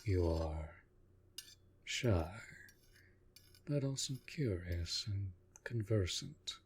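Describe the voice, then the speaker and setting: A man playing a courtly, protective vampire lord in a roleplay audio drama, speaking softly and formally in measured, deliberate phrases. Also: low-pitched, expressive, slightly rough, full character voice. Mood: pensive, intrigued. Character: courtly, protective male vampire master of a manor.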